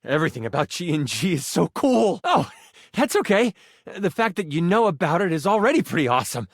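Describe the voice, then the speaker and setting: An eager, geeky living die who runs fantasy adventures as a video game character. The voice sits high and swings widely in pitch, full of theatrical storyteller flourish and excitable nerdy zeal. The sound is dry and close. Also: male voice, a little fast, no room sound, heavily acted. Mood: giddy excitement. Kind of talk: animated video game voice acting.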